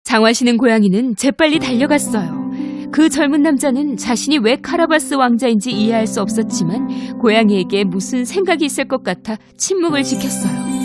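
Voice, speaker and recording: Female narrator, high voice, gentle fairy-tale reading over loud music. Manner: gentle storytelling. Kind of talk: scripted children's story narration. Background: loud background music.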